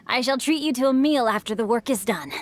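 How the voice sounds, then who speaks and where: A woman's voice: a little high, lively intonation, brisk, slight background noise, natural voice. A woman voicing a bookish young nobleman in a video game, formal, composed and slyly polite.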